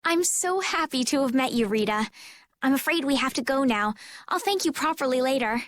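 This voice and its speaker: A woman's voice: sits high, expressive, moderate pace, a bit bright, no room sound. A woman voicing a polite, naive teenage noblewoman in a video game, sincere and eager. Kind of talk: English video game dub acting.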